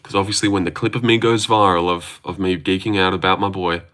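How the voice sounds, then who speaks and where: Aussie guy on a livestream, kinda low voice, rambly and jokey, lots of ums. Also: steady tone, quick pace, fairly bright tone. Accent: Australian. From Australia. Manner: rambling and joking, with frequent fillers and restarts. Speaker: livestream host. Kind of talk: casual livestream chat, reading out viewer comments.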